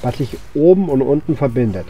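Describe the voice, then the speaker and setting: Dark-voiced man muttering through a video game. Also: mid-range voice, lively intonation, a little fast, breathy edge. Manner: rambling, thinking aloud while playing. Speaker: gaming channel host. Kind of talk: casual video game commentary. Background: game music and sound effects.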